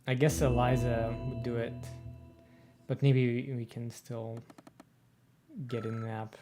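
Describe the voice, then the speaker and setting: A man's voice: medium pitch, flat delivery, a little slow, dark tone. A man hosting a let's play, musing over the game in a hesitant, casual way. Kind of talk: casual gameplay commentary. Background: game music.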